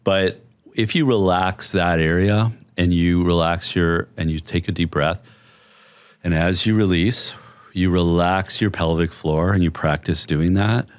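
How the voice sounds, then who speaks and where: Middle-aged male therapist guest, very low bass voice, calm and measured, dry close mic. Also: smooth, no echo. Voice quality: deep bass voice. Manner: soothing, measured, explanatory. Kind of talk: conversational interview.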